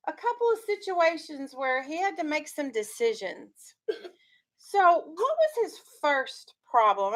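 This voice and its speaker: A woman's voice: high voice, fairly bright tone. A woman teaching young schoolchildren in a classroom, leading a reading discussion with instructive questions. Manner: instructive, questioning, checking understanding.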